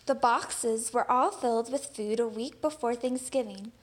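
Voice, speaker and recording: A woman reading a children's picture book aloud in an expressive storytelling manner. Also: on the high side, a little slow.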